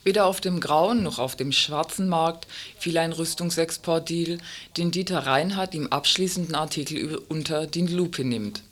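A man presents a scripted report for a radio magazine in pronunciation. His voice sits fairly high for a male, and he reads the prepared text as a presenter would. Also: normal speed, fairly bright tone.

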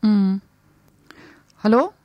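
A woman hosting a live radio interview unscripted, hesitant and pausing as she improvises. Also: mid-range voice, expressive, dry recording, highs cut off.

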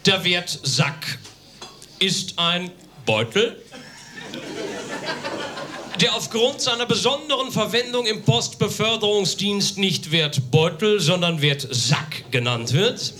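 A man from Germany doing a live comic reading on stage, deadpan and mock-formal with emphatic declamation. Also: high voice, slow pace, a crowd in the background. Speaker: comedic reader on stage. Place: live on stage in a theatre café, audience laughter behind.

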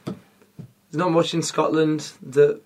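A man from England speaks in a fairly high voice. He is friendly and talkative, chatting easily through the interview. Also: flat delivery, slow, darkish tone. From North East England. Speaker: drummer. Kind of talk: interview chat.

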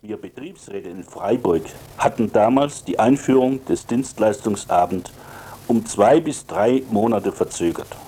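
A man giving prepared radio commentary as a works council member, with deliberate, emphatic phrasing. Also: on the low side, warm tone, breathy edge.

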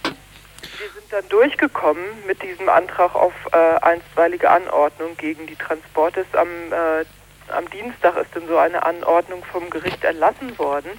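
A woman from northern Germany, a protest organizer, speaking earnestly in a radio news interview and often pausing on fillers and restarting. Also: fairly low pitch, a little hiss or hum, poor audio.

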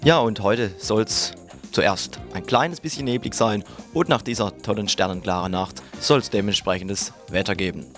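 A man's voice: fairly low pitch, normal speed. A man presenting a casual weather report on the radio. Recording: loud guitar music under voice. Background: guitar music.

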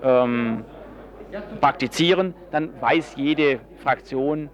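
A man answering as a politician in an interview, explaining in formal, measured and carefully worded language. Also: medium pitch.

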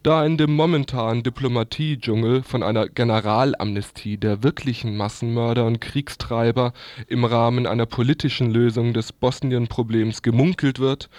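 A man's voice: medium pitch, darkish tone, no room sound. A man presenting a scripted news report on the radio.

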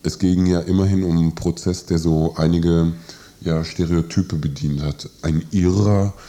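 Low, mellow male radio interviewer. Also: steady tone, moderate pace. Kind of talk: live radio interview, halting spoken questions.

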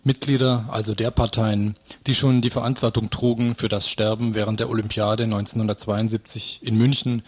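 A man with a husky voice reading a scripted political commentary on the radio. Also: medium pitch, darkish tone, no echo.

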